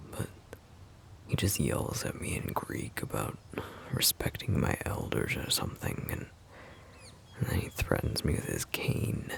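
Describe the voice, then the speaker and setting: Man voicing gentle bashful wolf-shifter boyfriend, ASMR roleplay, breathy half-whisper, rock-bottom pitch, halting soft delivery. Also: heavily acted. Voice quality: very deep, breathy, gravelly murmur. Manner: hesitant, halting, soft-spoken. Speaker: audio roleplay performer.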